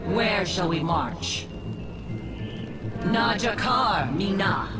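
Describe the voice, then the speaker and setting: An actress playing a disciplined, obedient alien warrior in a video game, answering orders in a clipped, formal way. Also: female voice, mid-range voice, expressive, drawn-out words. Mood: martial. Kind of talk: video game unit responses. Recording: loud game music and battle sound behind.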